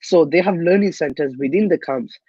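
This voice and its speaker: Young male guest from Myanmar, earnest and halting, somewhat high voice, dull and dry sound. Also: husky, no room sound. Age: young adult. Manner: earnest, halting, many restarts. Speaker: guest activist. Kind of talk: interview answers.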